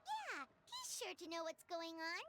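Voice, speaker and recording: A woman voicing a small, childlike fairy companion in a video game, chatty and quick to exclaim. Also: extremely high pitch, wildly swooping pitch, pushed and bright, exaggerated voice. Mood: concerned. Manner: exclamatory, chatty, reacts with alarm.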